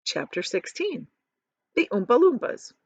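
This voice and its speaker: A woman reads a children's story aloud in a highly animated, fairly high voice. She is expressive and pauses to explain words simply. The recording is dry and a little muffled and lo-fi. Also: no room sound.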